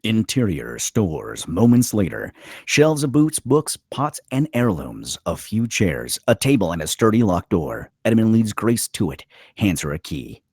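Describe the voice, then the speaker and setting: A male narrator reads scripted stage directions dramatically. His voice is deep and rough-edged, with lively rises and falls. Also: measured pace, no room sound, clean studio sound.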